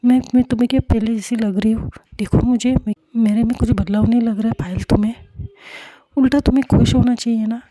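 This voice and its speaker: A woman's voice: medium pitch, fairly even intonation, moderate pace, mellow, slightly rough, natural voice, low-quality recording. A woman voicing a young woman who defends herself to a friend, speaking insistently and stumbling over repeated words. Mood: upset, defensive.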